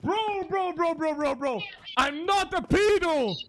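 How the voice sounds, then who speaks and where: High-voiced excitable young man. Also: a little hiss or hum. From Luxembourg. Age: 20s. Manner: excitable, repeats words in quick bursts. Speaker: streamer playing Roblox. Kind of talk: playful casual voice-chat banter.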